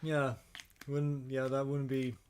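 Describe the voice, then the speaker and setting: A man's voice: mid-range voice, monotone, takes it slowly, darkish tone, a little hiss or hum. A man co-hosting a podcast in casual chat, often hesitating and repeating words as he goes. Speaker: podcast co-host.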